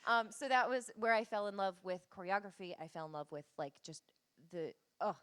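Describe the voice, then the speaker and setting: A woman's voice: mid-range voice, animated, a little fast, a bit bright, smooth. A woman guest in a casual interview, giggly and eager as she talks about choreography.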